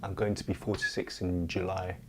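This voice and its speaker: A man in his forties, an energy healer answering viewers' questions in a calm, unhurried, reflective way on a lapel mic at home. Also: low-pitched, noisy background. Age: 40s. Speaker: energy healer and massage therapist. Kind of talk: livestream Q&A with viewers. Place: dim lamp-lit living room. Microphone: clip-on lapel mic.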